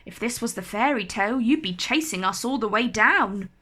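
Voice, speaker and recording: A woman's voice: fairly high pitch, animated, moderate pace, sharp tone. A woman voicing a polite, kind, earnest young boy in a children's storybook. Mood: concerned.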